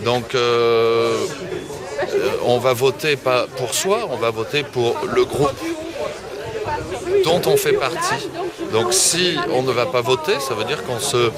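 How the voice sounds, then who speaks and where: A man answering street interview questions, often searching for words and repeating himself. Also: mid-range voice. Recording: loud crowd noise behind.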